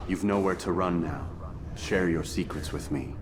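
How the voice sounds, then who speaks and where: A stern young assassin, played by a male actor in a game cutscene, with a low voice. He is terse, solemn and righteous, questioning like an interrogator over clearly noisy ambience. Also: normal speed, lightly acted. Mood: stern, demanding. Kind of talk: video game cutscene acting.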